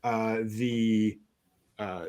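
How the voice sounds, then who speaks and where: A man hosting an anime news show, chatting casually through items with a somewhat halting delivery. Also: lowish voice, fairly even intonation, darkish tone.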